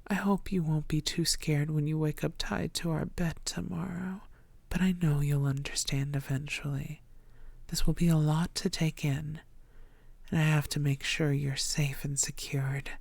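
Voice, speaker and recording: A woman playing an ancient, seductive female vampire, lovestruck and possessive, murmuring tenderly in breathy tones and whispers in an intimate ASMR audio roleplay. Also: low voice, steady tone, measured pace, darkish tone, dry recording, full character voice. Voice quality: breathy, often dropping to whispers.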